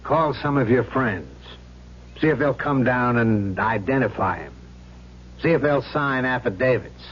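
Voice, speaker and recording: An actor playing a wise, cynical, experienced police sergeant in a radio drama, plain-spoken and practical. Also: male voice, medium pitch, unhurried, slight background noise, close to an everyday voice. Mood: world-weary. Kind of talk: old-time radio drama acting. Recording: muffled old radio recording.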